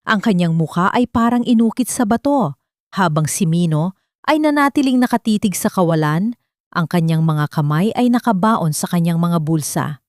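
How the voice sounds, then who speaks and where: A woman's voice: lowish voice, animated, normal speed, no echo, clean studio sound. A woman with a Filipino accent reading a scripted story as a dramatic audiobook narrator.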